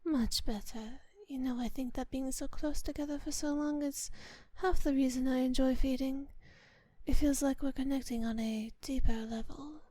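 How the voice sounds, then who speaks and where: A kind vampire mistress, played by a woman, speaks in a high yet velvety soft voice, slipping often into whispers. She is close on the mic in a very dry space, like an ASMR roleplay. Her phrasing is refined and unhurried, tender toward the listener and quietly in command. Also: steady tone, no echo. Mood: gently commanding. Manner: refined, formal diction. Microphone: close microphone in a dry space.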